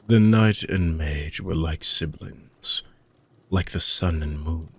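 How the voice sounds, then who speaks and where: A man playing an ancient, weary dark mage villain with a gravelly, husky voice, speaking in soft, deliberate, formal phrases as an intimate fantasy audio roleplay monologue. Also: extremely low pitch, exaggerated voice. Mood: solemn, brooding. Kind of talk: slow, intimate dramatic monologue for sleep-aid audio roleplay.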